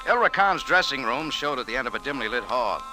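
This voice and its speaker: An actor playing a tough, wisecracking American café owner and adventurer, clipped and sardonic, in old-time radio style. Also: male voice, mid-range voice, very animated, a little fast, over music, natural voice, rough recording. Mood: tense narration. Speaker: lead actor and narrator. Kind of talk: old-time radio detective acting and narration.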